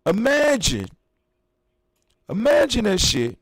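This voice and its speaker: A man in his fifties hosting a casual talk show, ranting in an animated, profane and emphatic way. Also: high voice, slow, husky, dry recording, studio quality. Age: 50s. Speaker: podcast host. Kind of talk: casual chat.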